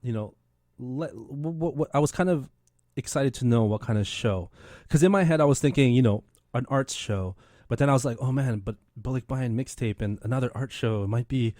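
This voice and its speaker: Male radio host, 30s, loose and rambling, mid-pitched, dry studio mic. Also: a little fast, dark tone, no room sound. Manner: rambling, many fillers and restarts. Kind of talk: casual radio chat.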